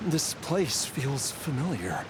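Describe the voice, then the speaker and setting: A man playing a troubled, ailing young man in a video game, haunted but determined, speaking in a halting, strained way. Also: medium pitch, big pitch swings, noisy background. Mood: uneasy, searching. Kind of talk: video game character acting. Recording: music behind the voice.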